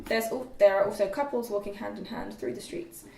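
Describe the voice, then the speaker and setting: A woman's voice: mid-range voice, fairly even intonation, a little fast, gravelly, distant mic. Young woman narrator for script read-through, scripted delivery, echoey room, faint background noise. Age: young adult. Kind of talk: scripted narration, reading screenplay directions aloud. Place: room at a group table read.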